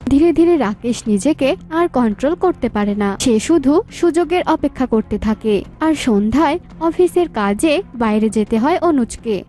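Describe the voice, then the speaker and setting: A woman's voice: high-pitched, lively intonation, normal speed, dark tone. A woman reading scripted story narration.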